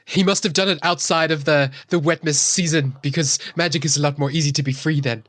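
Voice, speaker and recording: A woman's voice: low voice, steady tone, fairly quick, no echo. A woman improvising casually as a player in a tabletop role-playing game, wry and polite.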